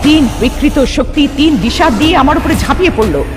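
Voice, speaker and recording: A woman's voice: high-pitched, lively intonation, brisk. A woman playing a distressed young sorceress, narrating her ordeal in the first person in an earnest, dramatic cartoon style. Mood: grave, dramatic. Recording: long reverb under loud music.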